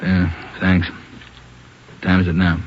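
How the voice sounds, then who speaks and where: A low-voiced American police chief, curt and skeptical. He speaks in short questions with small hesitations, on a dull, slightly noisy old radio recording. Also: little pitch movement, slow. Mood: subdued, restless. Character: gruff, skeptical male police chief of detectives. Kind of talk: 1950s American radio drama acting.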